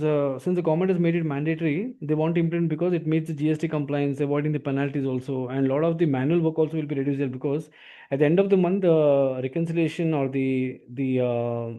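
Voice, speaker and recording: A man with an Indian accent explaining software as an instructor, often tagging phrases with "actually". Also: mid-range voice. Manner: repeatedly tags phrases with "actually". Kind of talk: explanatory software training lesson.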